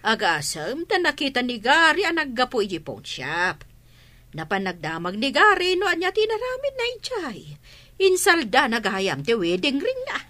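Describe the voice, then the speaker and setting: A woman's voice: sits high, huge pitch swings, normal speed, pushed and bright, heavily acted. A woman with an Ilocano accent playing a worried, exasperated middle-aged mother of a grown son in a radio drama.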